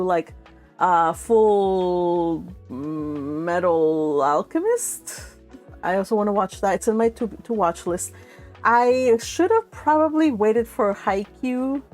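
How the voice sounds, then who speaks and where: A woman hosts a casual book livestream over faint background music. She talks in a gushing, giggly way at a middle pitch. Her sentences ramble and double back as she chats and reads out comments from viewers. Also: darkish tone. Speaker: livestream host of a book read-along channel. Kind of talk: casual live-stream chat, reading out viewer comments.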